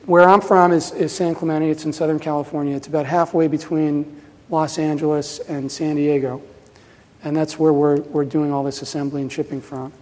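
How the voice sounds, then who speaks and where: A man's voice: on the high side, little pitch movement, moderate pace, a little mellow. Man in his fifties giving a rambling talk in a hall. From New Jersey, USA. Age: 50s. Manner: casual, rambling, frequent fillers and restarts. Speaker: presenter at a pinball festival. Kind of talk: conference talk. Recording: reverberant hall.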